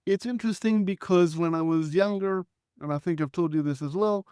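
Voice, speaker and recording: Man, Hebrew native speaker, high voice, smooth, reflective storytelling, clears his throat often, dry close mic. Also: fairly quick, darkish tone, no echo. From Israel. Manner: articulate, reflective, with frequent restarts and throat clearing. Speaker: guest. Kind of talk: conversational storytelling.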